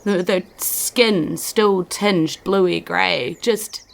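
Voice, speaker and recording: A woman's voice: fairly low pitch, extremely slow, creaky. A woman, narrating a tabletop game off the cuff as game master. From New Zealand.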